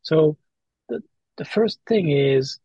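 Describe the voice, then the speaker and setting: A man's voice: fairly high pitch, lively intonation, takes it slowly, dark tone, slightly rough, dry recording, muffled recording. A man hosting a podcast in casual chat, often repeating words and tagging his points with "right?". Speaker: podcast host.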